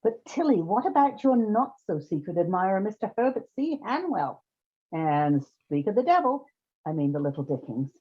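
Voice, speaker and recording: An actress playing a brisk, wry woman who runs an odd job agency in an old-time radio comedy. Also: medium pitch, big pitch swings, slightly rough. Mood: wry, businesslike. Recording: slightly dull, muffled sound.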